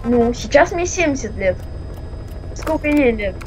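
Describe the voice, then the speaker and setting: A woman's voice: fairly high pitch, animated, measured pace, darkish tone, slight background noise, low-quality recording. A woman chatting casually during a game, teasing and insistent, repeating herself. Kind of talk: casual chat while gaming.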